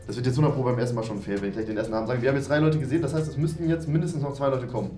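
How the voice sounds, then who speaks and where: A man's voice: mid-range voice, very fast, mellow. A man in his twenties hosting a game video casually, thinking aloud as he plays. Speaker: game show host and player. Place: small decorated room indoors. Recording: roomy indoor echo, music underneath. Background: background music.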